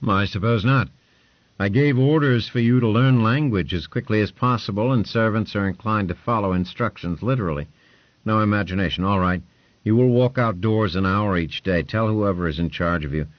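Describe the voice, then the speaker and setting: A man's voice: on the low side, moderate pace, no echo, muffled recording, voice pushed up, lightly acted. A man voicing a domineering male lord who talks in curt, commanding orders.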